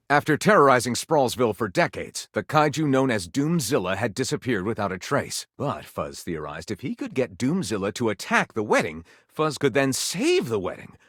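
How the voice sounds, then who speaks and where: Theatrical pulp detective narrator for a game, tongue in cheek, super swoopy dramatic delivery, very dry sound. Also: male voice, mid-range voice, a bit bright, no echo. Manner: melodramatic, arch, tongue-in-cheek. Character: theatrical storyteller narrating pulpy detective adventures with mock gravity. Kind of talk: dramatic scripted story narration.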